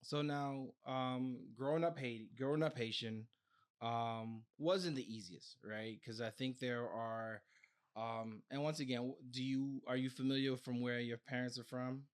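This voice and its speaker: A man's voice: mid-range voice, fairly even intonation, measured pace, clean tone. A man with an American accent, hosting a podcast as a conversational interviewer. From Brooklyn, New York, United States. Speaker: podcast host interviewing a guest.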